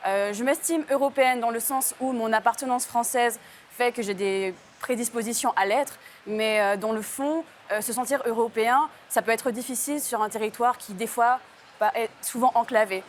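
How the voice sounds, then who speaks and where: Woman from french guiana, thoughtful careful answers, somewhat high voice, faint hiss. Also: moderate pace. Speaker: delegation member, interviewee. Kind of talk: interview answers.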